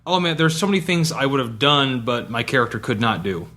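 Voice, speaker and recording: Excitable man with a bright voice. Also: medium pitch, fairly quick. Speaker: podcast guest, tabletop player. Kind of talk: casual chat.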